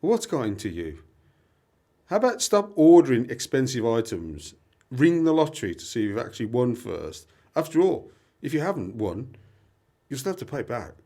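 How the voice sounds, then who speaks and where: A man's voice: medium pitch, very animated, moderate pace, mellow. A young British man playing a sensible, concerned friend who keeps questioning insistently, in an amateur radio play. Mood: exasperated.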